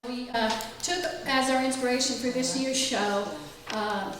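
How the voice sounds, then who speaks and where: A woman's voice: sits high, fairly even intonation, measured pace. A woman host introducing a show to a live audience, with a tongue-in-cheek manner. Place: indoor library hall with audience. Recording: very echoey room, distant mic, clear noise.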